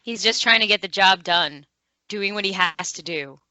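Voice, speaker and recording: Woman reading a level-headed female commando, bright voice. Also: mid-range voice, normal speed, no echo, close to an everyday voice. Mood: matter-of-fact. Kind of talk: screenplay table read.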